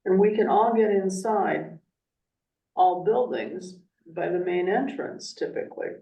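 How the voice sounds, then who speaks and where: Calm discussion leader, distant and roomy. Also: mid-range voice, unhurried, creaky. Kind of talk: facilitating a group discussion. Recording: distant and echoey.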